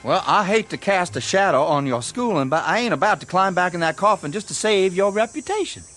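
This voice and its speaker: A man's voice: sits high, animated, a little fast, a bit bright, soft background music. An actor playing a plain-spoken, indignant young country man in a radio drama, with a folksy rural American accent. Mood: incredulous. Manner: folksy, incredulous.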